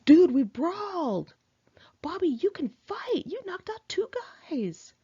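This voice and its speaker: A woman's voice: very high-pitched, big pitch swings, slow pace, dark tone, muffled recording, voice pushed up, heavily acted. Woman doing a nasal, snobby glam rocker guy. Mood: excited. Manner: snobby Valley Girl drawl, dramatic. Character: snobby, excitable glam rocker young man.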